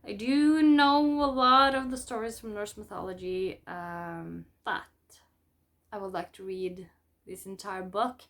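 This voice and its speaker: A woman's voice: medium pitch, fairly even intonation, unhurried, fairly bright tone. A woman from Norway with a Norwegian accent, a native Norwegian speaker, chatting casually as she presents books as a vlogger. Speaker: book vlogger presenting a haul. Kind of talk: casual chat to camera.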